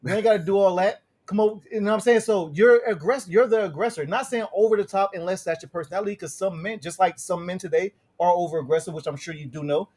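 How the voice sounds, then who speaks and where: A man's voice: sits high, fast talker, hardly any pauses, darkish tone, slightly rough. A man co-hosting a podcast, talking casually in a rambling way and acting out pickup lines. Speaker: podcast co-host. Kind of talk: casual chat.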